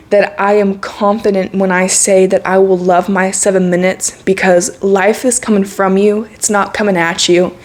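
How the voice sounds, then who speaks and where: Young woman in her twenties, casual vlog voice, candid and earnest, mid-range pitch, slangy and laughing easily. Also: a little mellow. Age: 20s. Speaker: vlogger. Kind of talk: casual reflective monologue to camera.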